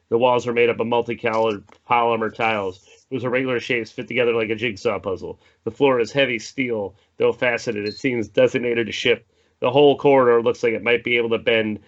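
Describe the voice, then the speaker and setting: Man narrating action, slightly dull recording. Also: medium pitch. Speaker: stage directions reader. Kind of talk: scripted narration of a screenplay table read.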